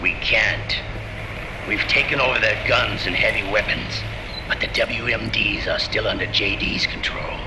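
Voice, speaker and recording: A man playing a calculating, commanding villain in a video game cutscene, speaking in a deliberate, imperious and menacing way. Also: lightly acted. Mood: confident scheming. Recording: noisy rumbling ambience.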